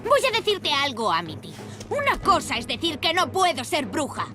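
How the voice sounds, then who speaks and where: A woman's voice: high voice, wildly swooping pitch, normal speed. A woman voicing a cheerful, plucky teenage apprentice witch with a Castilian Spanish accent in a cartoon dub. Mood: defiant. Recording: music behind the voice.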